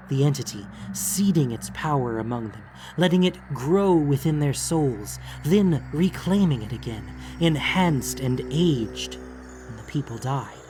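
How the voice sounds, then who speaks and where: A man narrating a fantasy audiobook in a solemn, dramatic, deliberate manner. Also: on the high side. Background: music.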